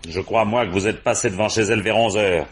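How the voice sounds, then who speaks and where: Husky, low-voiced police commissioner. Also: male voice, steady tone, fast talker. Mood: accusing, confident. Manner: insistent, familiar, methodical questioning. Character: shrewd, authoritative male police commissioner leading an interrogation. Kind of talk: French radio crime drama acting.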